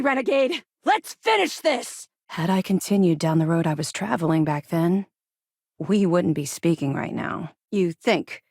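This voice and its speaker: Seasoned female military officer for sci-fi game: authoritative, clipped, lower register, close dry recording. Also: gravelly, a little mellow, dry recording. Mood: urgent battle shouts. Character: stern, seasoned female space-navy officer, reformed ex-pirate. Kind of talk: video game voice acting.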